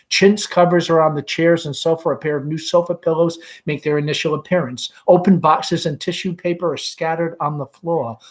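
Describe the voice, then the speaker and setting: A man reading a play aloud in deliberate, expressive scripted narration. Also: on the high side. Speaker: reader, principal.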